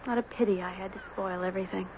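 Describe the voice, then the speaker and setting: A woman's voice: medium pitch, fairly even intonation, fast, darkish tone, natural voice. A woman playing a precocious, insistent young girl who speaks earnestly in a 1970s radio drama. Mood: earnest, wistful. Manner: earnest, emphatic. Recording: dull noisy 1970s radio broadcast.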